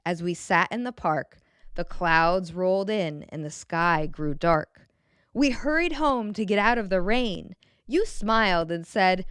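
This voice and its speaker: A woman reading a children's picture book aloud in a gentle, expressive way, pausing to put questions to the young listener. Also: medium pitch, dry recording, clean studio sound. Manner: gentle, expressive, clearly enunciated storybook delivery.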